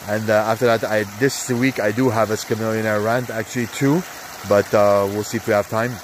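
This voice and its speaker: A man's voice: lowish voice, fairly even intonation, brisk, noisy background. Congested man rambling through football picks. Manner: rambling, many fillers, hyped asides. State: congested, sore throat. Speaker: sports betting vlogger. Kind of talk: casual NFL picks rundown. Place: outdoor hot tub on a cold day.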